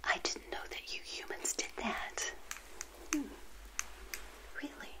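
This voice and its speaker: A middle-aged woman whispering gently and intimately in an ASMR roleplay as a friendly witch hostess, close to the mic. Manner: gentle, unhurried, intimate personal attention. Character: friendly witch hosting a tea party. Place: small indoor set, seated. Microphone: close to the mic, facing the camera.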